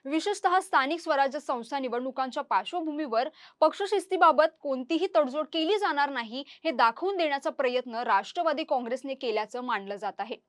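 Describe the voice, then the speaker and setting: A woman's voice: high voice, lively intonation, fairly quick, few pauses, no echo, studio quality. A woman presenting scripted news narration.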